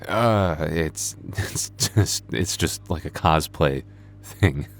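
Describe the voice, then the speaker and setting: A man's voice: a bass voice, lively intonation, takes it slowly, full character voice. A man acting a flustered young vampire store clerk in an audio roleplay, stammering nervously and awkwardly. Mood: nervous bluffing. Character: flustered young male vampire working convenience store night shift. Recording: faint background noise.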